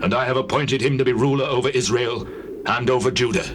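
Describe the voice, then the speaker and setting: An actor as an aged king of Israel, weary and solemn, issuing stately biblical lines in a dramatized scripture reading. His mid-pitched voice sits in the clear noise of an old cassette recording. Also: steady tone, quick pace, lightly acted. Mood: commanding.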